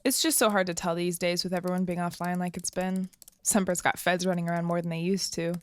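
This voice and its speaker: A woman's voice: fairly low pitch, fairly even intonation, brisk, natural voice. A woman playing a wistful, uneasy young woman who lives off-grid, speaking tentatively and thoughtfully in an audio drama. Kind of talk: naturalistic audio drama dialogue.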